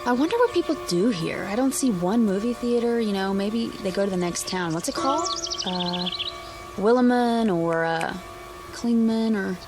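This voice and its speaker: A woman's voice: mid-range voice, animated, normal speed, close to an everyday voice. An actress playing an affectionate, practical young honeymooning wife in a radio drama, coaxing and reasoning with her husband. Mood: gently probing. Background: music under the voice.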